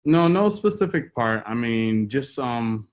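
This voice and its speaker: A man's voice: mid-range voice, unhurried. A young man, a business co-owner answering interview questions casually with plenty of ums. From Boston, US. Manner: relaxed, hesitant, many ums. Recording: dull lo-fi sound.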